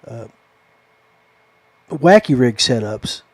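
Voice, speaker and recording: A man's voice: mid-range voice, expressive, slow pace, no echo. A middle-aged or older man hosting a podcast interview, chatting in a casual, folksy, affectionate and rambling way. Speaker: podcast host. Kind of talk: casual chat closing a fishing podcast interview.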